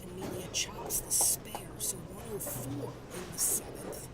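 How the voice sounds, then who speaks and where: A man's voice: high voice, measured pace. Man commentating bowling while getting over a cold. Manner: calm, matter-of-fact scorekeeping commentary. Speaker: tournament director and commentator. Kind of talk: live bowling play-by-play commentary. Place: bowling alley. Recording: distant mic with loud background noise.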